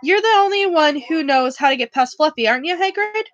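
Woman doing nervous schoolboy wizard, storybook, probing, high, dull sound. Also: expressive, a little fast, a bit bright, no room sound. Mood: anxious. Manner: anxious, probing. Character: anxious young schoolboy wizard.

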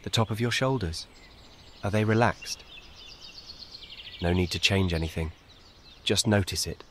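Calm guy doing guided meditation, lowish voice, soothing and measured, faint nature noise behind him. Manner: calm, measured, soothing, with gentle short instructions. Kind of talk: guided meditation, scripted narration.

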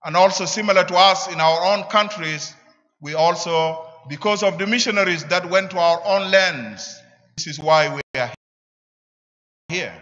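A middle-aged man with a South Sudanese accent preaches a sermon deliberately, in short emphatic phrases, at a church lectern. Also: sits high, fairly bright tone. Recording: echoing church, slightly dull sound.

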